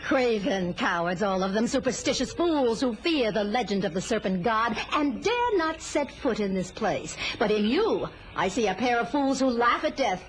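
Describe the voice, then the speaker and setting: A woman's voice: on the high side, big pitch swings, normal speed, hardly any pauses, a little hiss or hum, poor audio. An actress playing a proud, fierce queen of thieves, imperious and challenging, with archaic phrasing in an audio drama. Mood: scornful, bold.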